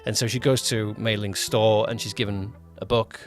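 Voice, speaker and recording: A man's voice: fairly low pitch, fairly even intonation, moderate pace, faint music behind. A man co-hosting a podcast as its producer, chatting casually and explaining things with frequent backtracking. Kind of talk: casual chat, project update.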